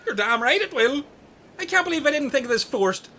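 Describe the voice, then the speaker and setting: Aggressive, foul-mouthed island robber female actor, high voice with big swings, blunt radio comedy, slight noise. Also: expressive, fairly quick, sharp tone. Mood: flustered, blustering. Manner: blunt, sweary. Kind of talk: comedic radio play acting.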